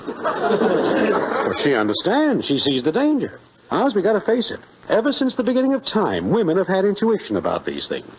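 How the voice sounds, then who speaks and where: A meddling American neighbour from a 1950s radio sitcom. His voice is high and very animated, with a husky edge. He preaches in mock-solemn earnest on a dull vintage recording. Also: normal speed, a faint crowd, heavily acted. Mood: earnest, insistent. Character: meddling, melodramatic male neighbour, middle-aged, earnestly alarmist.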